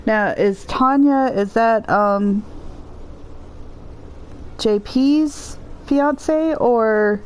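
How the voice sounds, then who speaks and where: A woman's voice: a little high, very slow, pauses often, poor audio. A woman with a Southern US accent, chatting casually as she livestreams a game. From Southern United States. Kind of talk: casual chat while streaming a game.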